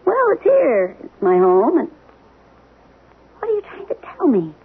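Radio drama, worried middle-aged wife: slightly high voice, practical, probing, coaxing, tinny broadcast sound. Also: female voice, huge pitch swings, a little slow, slightly rough, full character voice. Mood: disbelieving. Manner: probing, coaxing, plain-spoken. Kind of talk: 1970s radio drama acting.

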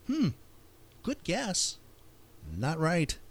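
Guy doing podcast trivia host stuff, kinda high voice, really animated, playful teasing. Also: very slow, slight background noise. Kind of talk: casual quiz hosting.

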